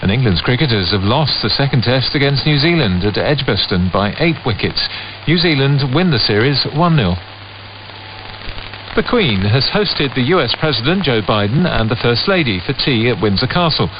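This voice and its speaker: A man reading a scripted radio news bulletin in a steady, even way. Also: medium pitch, normal speed. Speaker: newsreader. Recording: muffled shortwave radio with faint static.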